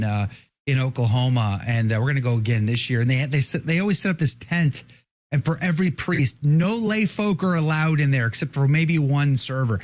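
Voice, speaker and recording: A man hosting a casual interview, rambling and repeating words as he restarts. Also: medium pitch. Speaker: show host.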